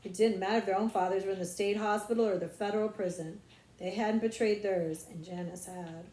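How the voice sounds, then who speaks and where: A woman reading a children's novel aloud as expressive storybook narration. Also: medium pitch, fairly quick, echoey room, poor audio. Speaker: audiobook reader, librarian.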